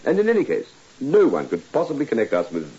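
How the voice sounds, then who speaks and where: Cold, domineering boss in old radio drama. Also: male voice, mid-range voice, wildly swooping pitch, quick pace, dark tone. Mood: smug, conspiratorial. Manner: curt, domineering, formal. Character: cold, scheming male office boss. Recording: dull, lightly noisy old radio recording.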